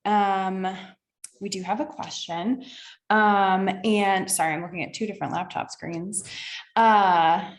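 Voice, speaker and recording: Woman moderating a Zoom Q&A. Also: medium pitch. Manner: apologetic, hesitant, many ums. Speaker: event moderator. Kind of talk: moderating a webinar Q&A. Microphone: video call. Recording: echoey room over a call.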